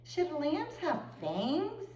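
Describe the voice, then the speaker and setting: A woman's voice: high voice, huge pitch swings, very slow, warm tone, a little hiss or hum, voice pushed up, full character voice. A woman voicing a spirited young female javelina who dreams of being a singing ballerina, with a dramatic, exclaiming delivery in a storybook reading. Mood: worried. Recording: roomy, far from the mic.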